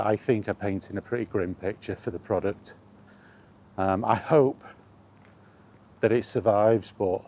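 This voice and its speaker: A man's voice: on the low side, warm tone. A man chatting casually and bluntly in a rambling, resigned way, as a vlogger walking outdoors. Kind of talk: casual walking vlog commentary on video games.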